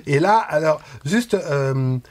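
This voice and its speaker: A man's voice: a little high, lively intonation, takes it slowly. A male host in a casual interview chat, talking informally and sharing anecdotes.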